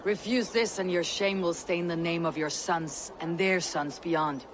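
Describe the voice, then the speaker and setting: An actress playing a stern, commanding young Viking warrior woman in a video game, speaking in blunt, measured lines. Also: lowish voice, steady tone, natural voice. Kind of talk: video game cutscene acting. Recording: outdoor ambience noise behind voice.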